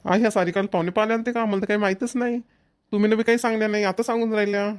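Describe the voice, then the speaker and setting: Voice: medium pitch, steady tone, rapid-fire, clear, resonant tone, natural voice. A performer playing an argumentative boy in a cartoon village family comedy, with a Vidarbha Marathi accent. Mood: complaining. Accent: Maharashtrian, Vidarbha Marathi. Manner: complaining, argumentative.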